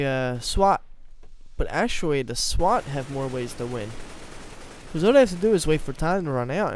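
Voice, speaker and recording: A young man doing casual gameplay commentary from a small room at home, his voice going hoarse, rambling and joking at himself with sudden loud laughing outbursts. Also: a little high, slight background noise. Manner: rambling, self-mocking, bursts into loud laughing exclamations. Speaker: gaming YouTuber.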